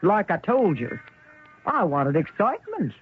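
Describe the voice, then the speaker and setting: An actress playing a shrewd, wealthy older widow in a theatrical, teasing radio drama style. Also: female voice, fairly low pitch, wildly swooping pitch, unhurried, slightly rough, faint music behind, heavily acted. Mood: knowing, confident. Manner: theatrical, teasing, folksy exclamations. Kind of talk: 1970s radio drama acting. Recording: tinny, noisy old radio recording.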